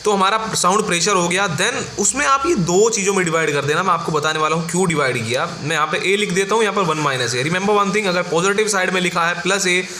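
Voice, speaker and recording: A man's voice: sits high, a little fast, few pauses, bright, forceful tone. A man with an Indian accent giving an informal explanatory lecture as a tutor, often checking in with short tag questions. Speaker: engineering tutor. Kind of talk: informal explanatory lecture mixing Hindi and English. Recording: echoey room with faint background noise.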